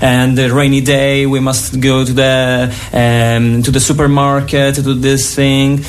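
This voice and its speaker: A man's voice: medium pitch, unhurried. Young Italian man, broken English. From Italy. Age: young adult. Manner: animated, broken English with restarts. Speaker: exchange student.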